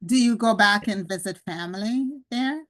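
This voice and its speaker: A woman's voice: medium pitch, normal speed. Curious woman podcast host. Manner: curious, asks open questions, warm aside comments. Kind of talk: casual interview chat.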